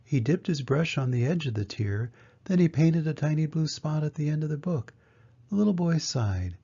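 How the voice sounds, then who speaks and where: An older man narrates a children's picture book with gentle, measured delivery. His voice is soft-edged and warm in tone, slightly husky, on a dull lo-fi recording. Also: on the high side, expressive. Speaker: grandfather reading a picture book aloud. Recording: dull, muffled lo-fi sound.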